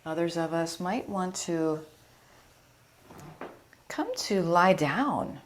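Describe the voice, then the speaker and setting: A woman teaching yoga nidra, gently and soothingly leading a guided meditation in a live online session. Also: lowish voice, animated. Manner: soothing, gentle, unhurried invitations. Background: singing bowls and chimes.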